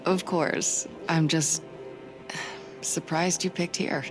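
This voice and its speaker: A composed, resolute spy in a video game. Her voice is low for a woman and she keeps it cool and matter-of-fact. Music plays clearly behind her. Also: steady tone, slow pace, low-quality recording. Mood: tender, casual. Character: composed, resolute female spy, warm toward her old lover. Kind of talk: cinematic video game acting.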